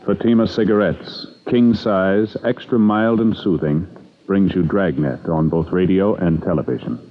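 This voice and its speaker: A man's voice: sits low, steady tone, a little slow, soft background music. An American man announcing formally and emphatically in 1950s radio style. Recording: dull old radio recording.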